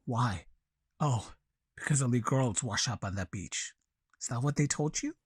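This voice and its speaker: An actor playing an outcast man called mad by his island, a wary survivor with a husky, halting delivery in an audio drama. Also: mid-range voice, expressive, no echo, studio quality. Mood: intense.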